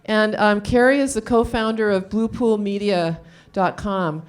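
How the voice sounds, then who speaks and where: A woman hosting a live event, introducing speakers in an informal, chatty way and sometimes haltingly reading from notes. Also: mid-range voice, steady tone. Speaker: event host introducing panelists. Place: live event room, on stage. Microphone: handheld microphone.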